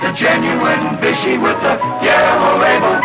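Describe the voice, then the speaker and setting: A man's voice: low-pitched, huge pitch swings, a little slow. A man performing a sung-and-spoken 1960s radio jingle. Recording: echoing, distant, dull vintage radio jingle. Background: loud music.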